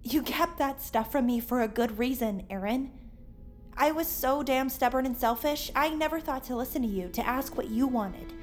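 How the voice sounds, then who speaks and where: A woman's voice: high voice, normal speed, faint music behind, natural voice. An actress playing a stubborn, wry young woman who fights monsters in an audio drama. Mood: remorseful.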